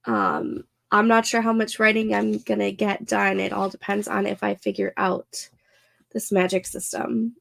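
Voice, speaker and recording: A young woman with a stuffed-up, congested nose, chatting casually and slowly while hosting a live stream of writing sprints, with many fillers. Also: medium pitch, expressive, gravelly. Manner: slow, rambling, lots of fillers.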